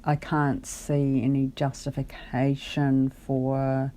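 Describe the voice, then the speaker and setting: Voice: medium pitch, completely flat delivery, takes it slowly, mellow. A caller on a phone conversation, explaining their case in a hesitant, careful and articulate way. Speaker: caller, benefit client.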